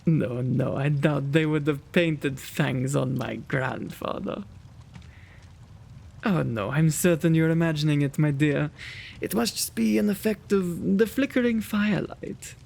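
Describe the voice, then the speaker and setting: Kindly vampire lord of the manor, played by a man, ASMR roleplay: genteel and quaint, slow delivery, gravelly creak. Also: fairly high pitch, darkish tone. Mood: flustered. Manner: genteel, old-fashioned, fussing and flustered diction. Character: kind, quaint gentleman vampire, lonely host of old mansion.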